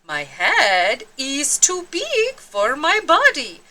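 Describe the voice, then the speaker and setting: A woman voicing an excitable, boastful little boy kitten who plays a dramatic Chihuahua hero in a storybook. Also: high voice, takes it slowly, pitched above the performer's own voice, full character voice. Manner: exuberant, bouncy, dramatic.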